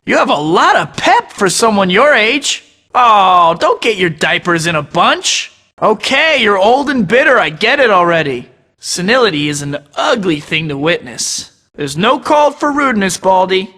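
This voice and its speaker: A man's voice: high-pitched, very animated, a little slow, pushed and bright, in a large hall, heavily acted. An actor voicing a young male superhero who tosses out sarcastic taunts in a video game. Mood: mocking. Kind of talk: game voice clips.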